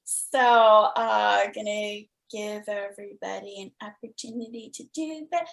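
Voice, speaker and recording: Woman podcast host chatting, slow n drawn out, echoey room. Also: medium pitch, vocal fry. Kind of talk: casual chat. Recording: roomy, echoey sound.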